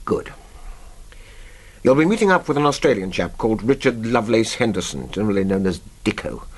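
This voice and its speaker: A male actor playing a brisk, authoritative senior British intelligence chief in a radio play, with a husky, clipped delivery. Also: fairly low pitch, big pitch swings, exaggerated voice. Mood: brisk, encouraging. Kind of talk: BBC radio play acting.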